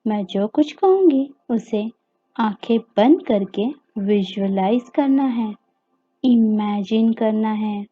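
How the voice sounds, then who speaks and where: Indian woman, children's meditation narrator, fairly high voice, extremely unhurried pace, gentle phrasing, music behind. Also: animated. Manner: gentle, simple instructions with deliberate pauses, addressing children. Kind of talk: guided meditation storytelling. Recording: dull lo-fi audio with music behind.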